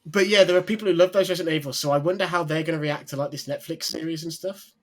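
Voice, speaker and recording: A man with a slightly high-set voice chats casually over a video call. His talk is loose and halting, full of ums and restarted phrases. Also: fairly bright tone. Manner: hesitant, many ums and restarts. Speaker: podcast co-host.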